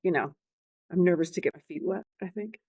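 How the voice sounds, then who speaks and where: A woman's voice: on the low side, fairly quick, darkish tone, slightly rough, dry recording. A woman talking casually as a prospective client on an online sales call, somewhat hesitant and brief in her answers. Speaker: prospective client, part-time real estate agent.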